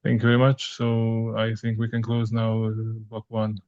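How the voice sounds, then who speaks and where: A man hosting an interview podcast, speaking haltingly with many fillers and restarts. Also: fairly low pitch, monotone, darkish tone, clean tone, dry recording. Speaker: podcast host. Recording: dull lo-fi sound, dry, faint music.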